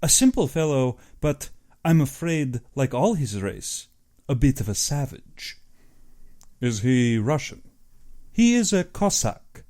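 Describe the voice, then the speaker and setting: A man's voice: medium pitch, sing-song, a little mellow. A man voicing a suave, courtly aristocratic Russian general, an affable but sinister hunter, in an audiobook. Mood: courteous, commanding. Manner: courtly, measured, self-satisfied.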